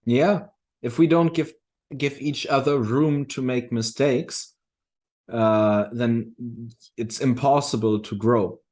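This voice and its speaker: Man talking haltingly and reflectively. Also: mid-range voice, a little mellow. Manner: halting, reflective, often restarting phrases. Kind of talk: casual discussion.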